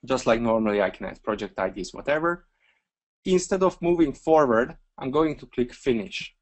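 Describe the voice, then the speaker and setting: A Hungarian man walking through a software feature step by step in a webinar screencast, relaxed and talking through each click with a few fillers. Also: mid-range voice, normal speed. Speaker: software trainer.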